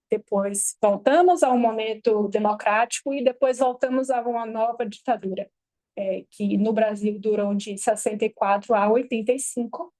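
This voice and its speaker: A Brazilian woman, a lawyer and native Portuguese speaker, giving a halting, measured academic talk at a panel table microphone. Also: fairly high pitch, darkish tone, no echo. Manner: measured, halting, many pauses. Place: university event hall.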